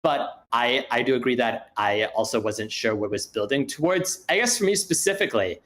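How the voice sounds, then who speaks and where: A man's voice: medium pitch, expressive, normal speed, fairly bright tone. A man giving spoken script feedback in a group discussion, speaking hesitantly and circling back to rephrase his points.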